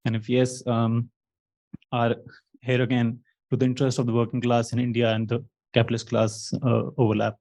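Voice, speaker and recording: Flat-toned man posing a question. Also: medium pitch, fairly quick, poor audio. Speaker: audience member asking a question. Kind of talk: spoken question to a lecturer.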